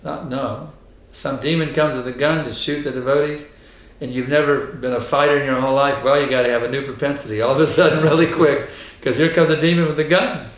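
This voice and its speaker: A hoarse man giving a temple lecture and answering questions, emphatic and insistent, repeating his points. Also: medium pitch, fairly even intonation, fairly quick, hardly any pauses. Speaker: spiritual teacher giving a lecture. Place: temple hall. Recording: echoey hall.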